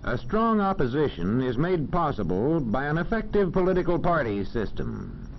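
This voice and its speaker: Formal American male narrator for a 1940s classroom film, animated delivery, dull old soundtrack. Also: on the high side, a little hiss or hum. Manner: formal, measured, didactic.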